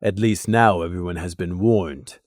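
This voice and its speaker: A man voicing a calm, resigned pony companion in a low-key manner. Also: a little slow, no echo, clean studio sound.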